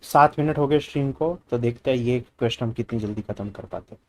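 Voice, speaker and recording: A man's voice: medium pitch, racing, darkish tone, slight background noise. A man with an Indian accent giving casual live commentary as a game streamer.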